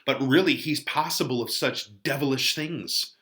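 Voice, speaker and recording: Male teacher, casual commentary, informal asides, bright tone, conversational. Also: mid-range voice, normal speed.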